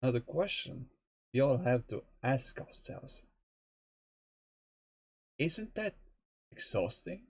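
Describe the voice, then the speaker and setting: A young man muses aloud at an unhurried pace, his pitch swinging widely from line to line. He talks in a loose, chatty way and swears casually. The recording is dry and close, with no room sound. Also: a little mellow, breathy edge, dry recording. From Estonia. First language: Estonian. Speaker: streamer. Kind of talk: rambling gameplay commentary.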